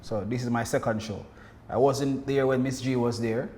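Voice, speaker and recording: A man's voice: mid-range voice, steady tone, moderate pace, warm tone. A Jamaican man chatting casually and telling anecdotes as a performer on a podcast. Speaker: pantomime performer, podcast guest. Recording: faint background noise.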